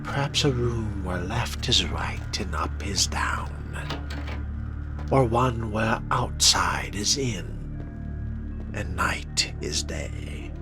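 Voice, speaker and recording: A man's voice: low voice, heavily acted. A man playing a sardonic, refined vampire fledgling, musing aloud in arch, wordy, theatrical phrases for a video game role-play. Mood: sardonic, weary.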